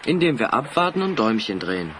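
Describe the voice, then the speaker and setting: An actor playing a bold, confident adventurer in a German children's audio drama, assertive and theatrical. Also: male voice, mid-range voice, big pitch swings, quick pace, noisy background, close to an everyday voice. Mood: cocky. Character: bold, confident male adventurer leading his friends.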